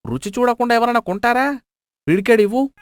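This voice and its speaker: A man's voice: very high-pitched, brisk, no room sound. A man playing a sly, miserly cloth merchant in a moral story, wheedling, exclaiming and justifying himself.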